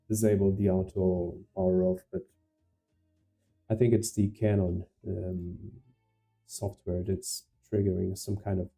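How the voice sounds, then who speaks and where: Low, gravelly man with a Portuguese accent. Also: warm tone. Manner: hesitant, repeats words, many fillers. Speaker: day trader livestreaming to viewers. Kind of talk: live stream commentary and viewer chat.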